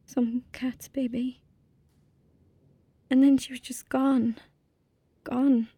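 An actress playing a frightened, guilt-ridden young girl in an audio drama, with an Irish accent and a halting, intense delivery that dips toward whispers. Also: high-pitched, flat delivery, warm tone, husky, no room sound, exaggerated voice. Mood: haunted, distraught.

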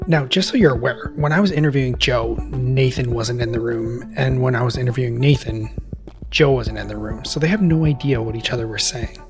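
A man hosting a podcast, narrating in a measured, reflective and personal way. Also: medium pitch, music in the background. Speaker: podcast host.

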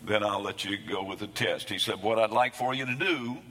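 A man preaching a sermon, his voice hoarse from losing it between services, with a folksy, self-deprecating humor. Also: fairly low pitch, normal speed, sharp tone, long reverb tail. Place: church sanctuary.

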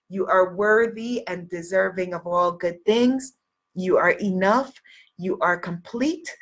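A woman's voice: fairly high pitch, fairly bright tone. A sniffly woman hosting a motivational livestream, encouraging and emphatic, repeating affirmations and phrases for effect. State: sniffly with a cold. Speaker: live-stream host, spiritual life coach.

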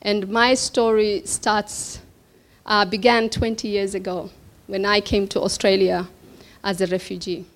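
Slow, pausing woman from Somalia. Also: mid-range voice, little pitch movement. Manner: slow, reflective, with many pauses and fillers. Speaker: keynote speaker. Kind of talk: personal story speech. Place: event hall before an audience.

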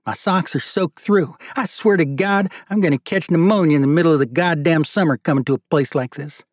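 A man's voice: medium pitch, measured pace, no room sound, studio quality, natural voice. A man voicing an agitated, impatient older brother with a thick Manhattan accent, whining and incredulous. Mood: exasperated. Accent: New York (Manhattan). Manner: incredulous, whiny, exasperated.

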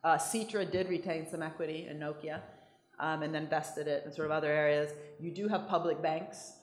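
A woman from Italy with an American accent, speaking fast and emphatically to an audience with restarts and quick asides. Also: low voice, steady tone, echoey room. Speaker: economics speaker. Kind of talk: lively talk with audience questions.

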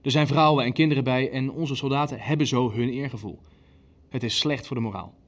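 An actor playing an impatient, ruthless Nazi official in a radio play, insistent and coldly matter-of-fact. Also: male voice, medium pitch, a little fast, close to an everyday voice. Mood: grave, restrained. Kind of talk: dramatic dialogue in a radio play.

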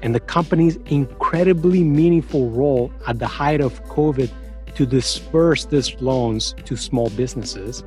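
A man's voice: medium pitch, darkish tone. A man hosting a podcast, reading a formal introduction and then politely welcoming his guest. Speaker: podcast host. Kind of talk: scripted intro, then interviewing.

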